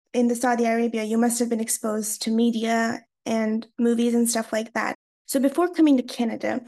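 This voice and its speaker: A woman's voice: on the high side, darkish tone. A young woman, co-hosting a podcast in a casual way. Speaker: podcast co-host.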